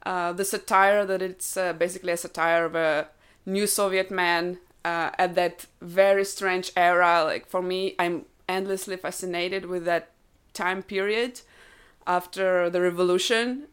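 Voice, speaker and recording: A woman's voice: lowish voice, fairly even intonation, a little slow, a bit bright, smooth. A woman in her thirties from Ukraine, a native Russian speaker, chatting as a podcast guest and explaining things thoughtfully with many fillers. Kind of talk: casual chat.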